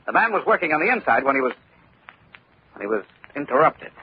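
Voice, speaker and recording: An actor playing a confident, brisk male insurance investigator in an old-time radio drama, with a clipped, commanding manner. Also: fairly high pitch, big pitch swings, a little hiss or hum. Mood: suspicious. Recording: muffled vintage radio recording.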